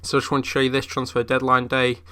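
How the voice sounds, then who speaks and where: A man's voice: mid-range voice, completely flat delivery, fast, pushed and bright, smooth. A young man who is ill, chatting casually through game commentary with lots of fillers. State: ill, voice a bit off. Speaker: gaming YouTuber.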